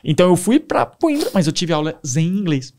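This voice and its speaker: A young man with a Brazilian Portuguese accent, a podcast guest telling stories in a casual, animated way. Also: high-pitched, brisk. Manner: animated, enthusiastic storyteller. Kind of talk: casual storytelling.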